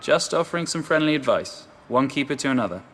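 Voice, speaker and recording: An actor playing a smug, pushy teenage athlete in a video game, with long reverb. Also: male voice, mid-range voice, lively intonation, fast talker, a bit bright. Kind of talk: video game character acting.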